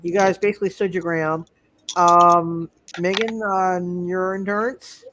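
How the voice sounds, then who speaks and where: A game master refereeing a live tabletop roleplaying game in a halting way, often repeating phrases. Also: medium pitch, fairly even intonation, bright, forceful tone, a little hiss or hum.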